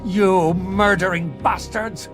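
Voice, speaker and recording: A man voicing a gruff, determined male station engineer who speaks in a blunt, urgent way. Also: high voice, fairly even intonation, slow, over music, voice pushed up. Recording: loud background noise.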